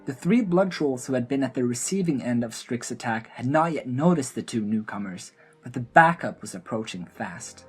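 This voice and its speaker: A woman's voice: rumbling low, expressive, normal speed, hardly any pauses, husky, faint music behind. A woman narrating a scripted fantasy audio drama as its storyteller.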